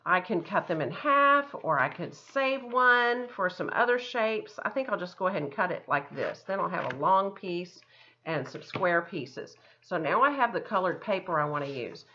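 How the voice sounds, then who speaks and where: A woman's voice: fairly low pitch, lively intonation, normal speed, bright, forceful tone, a little hiss or hum. A woman art teacher giving children a step-by-step craft lesson in simple, encouraging words, often thinking aloud, on a dull lo-fi recording.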